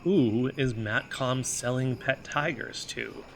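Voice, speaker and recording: A man's voice: medium pitch, slow pace, gravelly. An actor playing an earnest, brooding amateur investigator who narrates his own conspiracy-minded story in an audio drama. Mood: musing. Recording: faint background noise.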